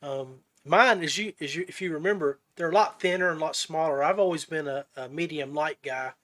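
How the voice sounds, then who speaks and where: A man's voice: on the high side, fairly quick, a bit bright. A man hosting a casual interview podcast, talking in an informal, folksy way. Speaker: podcast host.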